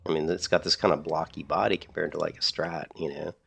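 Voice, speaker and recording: A man in a casual, rambling chat about guitars, losing his voice a little and clearing his throat often. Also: extremely low pitch, fairly even intonation, fast talker, a bit bright. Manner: rambling, repeats himself, frequent throat clearing. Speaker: streamer.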